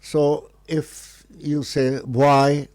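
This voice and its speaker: A man's voice: a little high, little pitch movement, very slow, a little mellow. A Jamaican man in a bantering debate as a guest, talking colloquially and slipping between patois and English. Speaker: guest, political speaker.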